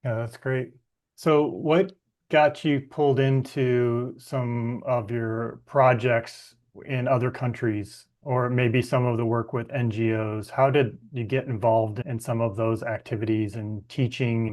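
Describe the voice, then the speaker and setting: Male podcast host, affirming and asking open questions, mid-pitched. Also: flat delivery, a little slow, darkish tone. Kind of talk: podcast interview.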